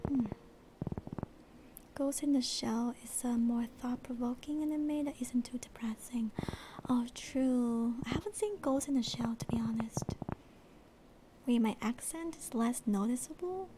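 A young woman with a slight accent whispers close to the mic in a slow, airy ASMR murmur, drifting between thoughts as she chats. Her voice sits a bit high, and a steady hiss runs under the recording. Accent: slight non-American accent. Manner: relaxed, rambling, reads chat aloud. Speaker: VTuber streamer.

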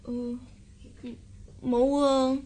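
A newlywed wife barely out of her teens in a radio drama. She is sweet and trusting, with a high voice and a Hong Kong Cantonese accent. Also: flat delivery, extremely slow, dark tone, full character voice, low-quality recording. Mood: innocent, earnest.

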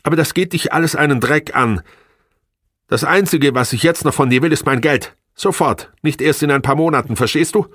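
A man's voice: medium pitch, lively intonation, moderate pace, slightly rough, no echo, clean studio sound, voice pushed up. A man voicing an angry, demanding estranged wife with biting, indignant emphasis in an audiobook. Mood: venomous, furious. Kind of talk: audiobook character dialogue.

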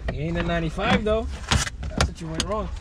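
A man's voice: a little high, a little fast. A young man joking and bragging with friends at a junkyard, slangy and playful. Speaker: friend on the trip. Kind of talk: casual chat. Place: outdoor junkyard. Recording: loud outdoor noise on camera audio.

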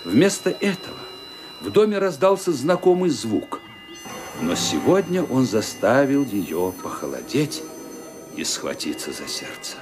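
A man's voice: medium pitch, sing-song, unhurried. A man narrating a radio play in a dramatic, suspenseful reading style. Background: music underneath.

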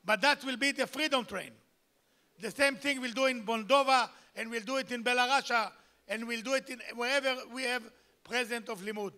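A man's voice: very high voice, a little fast, sharp tone. Older Israeli man announcing at a gala. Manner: emphatic, repetitive announcing. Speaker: gala speaker. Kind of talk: public speech at a gala. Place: large banquet hall stage. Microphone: handheld microphone.

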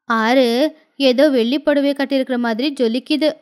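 A small obedient boy, voiced by a woman in a cartoon tale, high in pitch. He talks slowly and gently, as a loving little brother. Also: steady tone, clean tone, natural voice. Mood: tired, tender.

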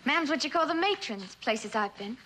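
A woman's voice: sits high, animated, normal speed. A young actress playing a tough, wary, wisecracking institution-raised girl in a vintage television suspense drama, talking in flip slang. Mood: wary, sardonic. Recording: noisy vintage TV soundtrack.

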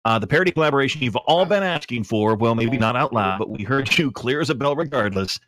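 A man's voice: mid-range voice, lively intonation, quick pace, background noise. A man hosting a live podcast, introducing items in a casual, chatty way. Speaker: podcast host.

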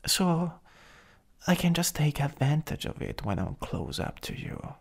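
A man with an Italian accent playing a jealous, flirtatious supervillain in an intimate ASMR roleplay, breathy and often whispering. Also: on the high side, very animated, heavily acted. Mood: teasing, intimate. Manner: slow, teasing, lingering delivery. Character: jealous, possessive, teasing flirtatious male supervillain.

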